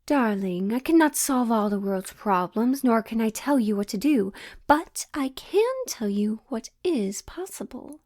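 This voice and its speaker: A woman playing a coy, theatrical witch who reads tarot, teasing and mysterious, coaxing the listener with dramatic pauses in an audio roleplay. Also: fairly high pitch, animated, darkish tone. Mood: coy, inviting. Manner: slow, coaxing, endearments, dramatic pauses, breaks into near-whispers. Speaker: tarot reader.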